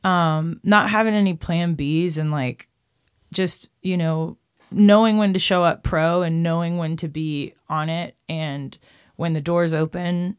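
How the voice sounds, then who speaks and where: A woman's voice: lowish voice, fairly even intonation, measured pace, smooth, no room sound, studio quality. A woman in her thirties from Texas, a musician guest chatting freely in an interview, laughing often and acting out quotes. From Austin, Texas. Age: 30s. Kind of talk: casual interview.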